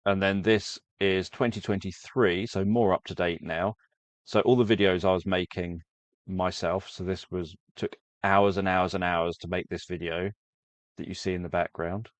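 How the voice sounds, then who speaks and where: A man's voice: deep voice, steady tone, moderate pace, no echo. A man who makes sleep stories, talking casually to viewers and rambling through his explanations. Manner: rambling, self-correcting explanation. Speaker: sleep story creator.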